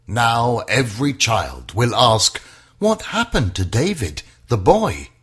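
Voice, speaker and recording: A man narrating a rhyming children's bedtime story in a theatrical, sing-song way, with a husky voice. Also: fairly low pitch, fairly bright tone.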